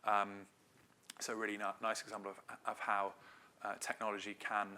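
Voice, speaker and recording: Middle-aged man from Wales presenting at a conference; fairly low, steady voice with a bright, forceful edge; conversational and hedging. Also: moderate pace. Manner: conversational, loose delivery with frequent hedges. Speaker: marketing director giving a talk.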